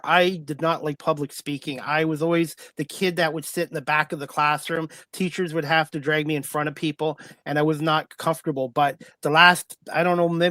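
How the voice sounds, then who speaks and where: A man's voice: fairly high pitch, a bit bright, no echo. A man hosting a casual interview, talking in an earnest, plain-spoken, rambling way. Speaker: talk show host.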